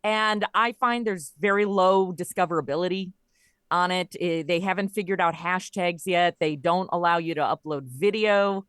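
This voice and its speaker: A middle-aged woman with a slightly low voice talks casually as an interview guest. She is wry and jokey, and the sound is close and dry, free of room echo. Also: moderate pace, a bit bright, clear, resonant tone. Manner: wry, joking. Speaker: podcast guest, audio comedy creator.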